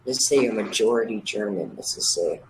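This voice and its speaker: A man with a slightly low voice speaks in a casual debate. His delivery is hesitant and fragmented, and the recording carries some noise. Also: brisk.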